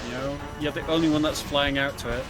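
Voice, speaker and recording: Fairly high-voiced man, gameplay co-commentator. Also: steady tone, fast. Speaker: speedrun co-commentator. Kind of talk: live gameplay commentary, casual chat. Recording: game music playing behind voice. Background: video game music and sounds.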